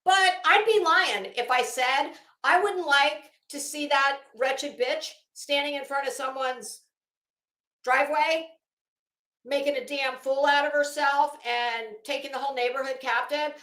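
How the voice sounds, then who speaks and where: An American woman hosting a commentary show, reading documents aloud dramatically and adding casual, emphatic asides with drawn-out phrasing. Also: high-pitched, pushed and bright, reverberant.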